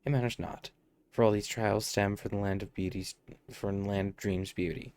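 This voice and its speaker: A man's voice: fairly low pitch, fairly even intonation, normal speed, a little mellow. A man streaming a game, reading dialogue aloud and commenting casually as he plays. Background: game music.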